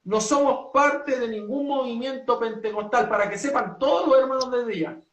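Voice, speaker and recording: A man from Chile, a pastor, setting out his church's principles in an earnest, measured way with many hesitations. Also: very high voice, fairly bright tone, echoey room, far from the mic. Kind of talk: doctrinal statement in a two-way talk.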